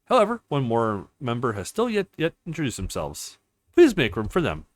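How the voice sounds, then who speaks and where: A man voicing a formal, composed interim leader of a crime council in a visual novel, speaking in a measured way. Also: medium pitch, huge pitch swings, no room sound. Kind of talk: reading visual novel dialogue.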